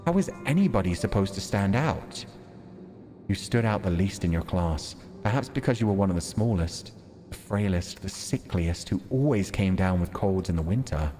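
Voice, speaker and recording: A man's voice: low voice, mellow. A man livestreaming a visual novel, reading it aloud as dramatic narration and chatting casually about it. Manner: measured, deliberate storytelling delivery when reading. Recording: long reverb, music behind. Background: music.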